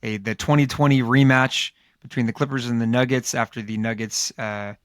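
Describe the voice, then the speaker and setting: Hoarse man rambling through a sports recap. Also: medium pitch, fairly even intonation, a little fast, no room sound, clean studio sound. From Los Angeles area, United States. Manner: loose, rambling, with many fillers and restarts. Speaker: podcast co-host. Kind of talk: casual sports podcast talk.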